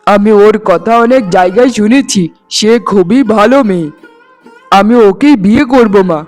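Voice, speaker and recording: A man voicing a kind young suitor in a cartoon, speaking in an earnest, gentle manner. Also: high voice, moderate pace, soft background music, close to an everyday voice. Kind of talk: cartoon voice acting.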